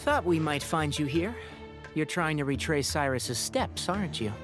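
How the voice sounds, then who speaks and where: Game casting: man as a youthful male ranger, lively intonation, sensible and observant, music underneath. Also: a little high, moderate pace, natural voice. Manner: calm, reasoning, matter-of-fact. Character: level-headed, observant young male forest ranger. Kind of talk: video game voice acting. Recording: music behind the voice.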